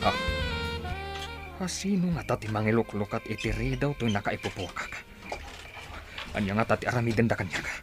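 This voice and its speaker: A man's voice: on the low side, expressive, moderate pace, pauses often, over music, lightly acted. A Filipino man playing an anxious prisoner plotting escape in a radio drama, muttering to himself in agitation. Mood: anxious, startled.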